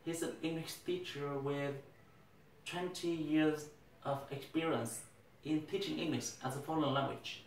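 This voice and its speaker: A young man with a Vietnamese accent speaks in an earnest, deliberate way, as if delivering a prepared first speech. His voice sits a little high for a man. The sound is echoey and a bit distant, with a faint hiss behind it. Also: fairly even intonation. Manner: earnest, deliberate, occasional restarts. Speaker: English teacher. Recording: echoey room, mic at a distance.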